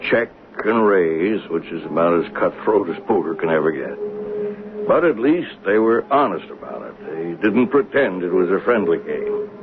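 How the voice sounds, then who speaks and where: An actor playing a plain-spoken, observant male train conductor, narrating a 1970s radio drama in a folksy, halting way. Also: deep voice, lively intonation. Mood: matter-of-fact. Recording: noisy, narrow-band old radio broadcast.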